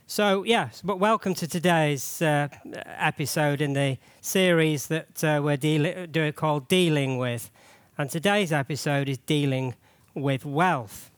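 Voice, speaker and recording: A man's voice: fairly high pitch, fairly bright tone, clean tone, no echo. A man with a cold, losing his voice, giving a chatty, jokey church sermon full of rhetorical questions.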